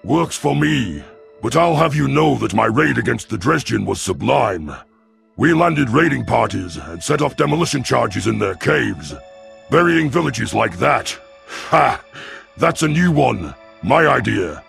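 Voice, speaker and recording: A man voicing an arrogant young reptilian alien hunter, gravelly and sneering, in a science fiction audio story. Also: mid-range voice, very animated, a little slow, a bit bright, soft background music, voice pushed up, heavily acted. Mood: boastful, scornful. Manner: boastful, sneering.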